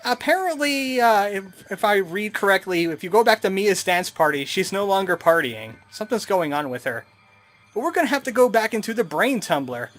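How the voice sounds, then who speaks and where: A man's voice: high-pitched, big pitch swings, normal speed, fairly bright tone. A man giving casual, snarky let's play commentary as he plays a video game. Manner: snarky, wry. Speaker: gamer, let's play host. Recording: commentary over game music.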